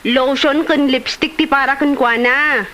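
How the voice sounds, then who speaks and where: A woman acting a breezy young daughter in an Ilocano comedy drama, chatty and quick to protest. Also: high-pitched, fairly even intonation, bright, forceful tone. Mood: breezy, persuading. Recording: noise behind the voice.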